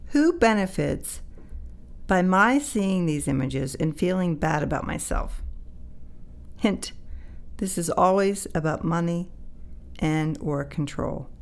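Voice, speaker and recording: A woman's voice: lowish voice, lively intonation, slow pace, vocal fry, long pauses. A woman co-hosting a podcast, earnestly reading and discussing passages from a book. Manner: earnest, reads passages aloud. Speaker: podcast co-host. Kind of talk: casual chat, reading from a book.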